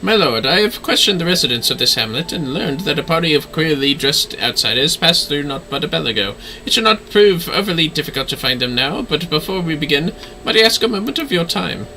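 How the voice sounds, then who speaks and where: A man voicing a formal, dutiful manservant swordsman in a fantasy game, speaking in a courtly, earnest, measured manner. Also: on the high side, very animated, pushed and bright, slight background noise, heavily acted, rough recording. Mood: earnest, worried.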